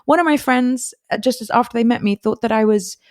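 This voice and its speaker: A woman's voice: fairly high pitch, fairly quick, no echo, clean studio sound. A woman podcast co-host from Dubai with a mixed international accent, British-leaning with American touches, telling stories conversationally. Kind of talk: casual conversational storytelling.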